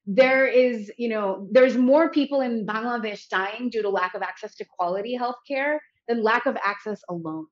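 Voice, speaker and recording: A woman's voice: fairly high pitch, normal speed, hardly any pauses, lo-fi sound. An American woman, a health company founder, speaking earnestly and articulately as an interview guest. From United States. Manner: earnest, articulate, with light laughs. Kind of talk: interview conversation.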